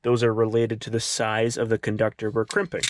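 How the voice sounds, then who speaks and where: A man's voice: on the low side, monotone, fairly quick, no room sound. A man narrating a casual hands-on DIY tutorial in a methodical, explanatory way. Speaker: host of a DIY car repair tutorial.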